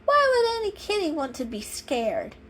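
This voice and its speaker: A woman voicing a curious, excitable young female kitty in a storybook reading, with an eager, questioning manner. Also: sits high, moderate pace, soft background music, voice pushed up. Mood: confused, deflated.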